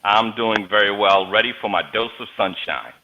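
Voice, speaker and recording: A man's voice: on the low side, moderate pace, muffled recording, slight background noise. A man, a guest in an interview, telling stories in a joking, conversational way over a video call. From New York, USA. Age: 40s. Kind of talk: casual interview talk.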